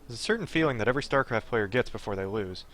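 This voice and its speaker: A man's voice: mid-range voice, expressive, very fast, a bit bright. A man streaming a game, reading story text aloud and breaking off into chatty, digressive commentary. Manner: chatty, digressive asides while reading narration. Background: game music.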